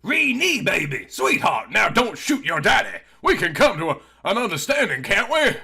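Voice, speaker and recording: Man doing a high, blustering father. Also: big pitch swings, moderate pace, gravelly, pushed and bright, slightly rough, full character voice. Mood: wheedling. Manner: blustering, wavering, wheedling. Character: bullying, sniveling older Southern father, rich schemer.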